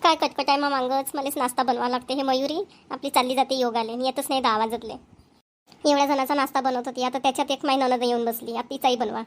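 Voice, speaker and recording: A woman plays an overworked village housewife from a family cartoon. Her voice is high and rapid, with little rise and fall. She has a homely rural Varhadi Marathi accent and a plaintive way of talking. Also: fairly even intonation. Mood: complaining. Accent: Maharashtrian, rural Varhadi Marathi.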